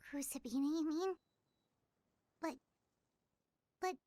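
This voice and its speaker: A little fairy girl companion for a video game. The voice is extremely high, squeaky and childlike, with a very dry sound. She speaks in a naive, blunt, inquisitive way. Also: slow pace, warm tone, no room sound. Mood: surprised, realizing.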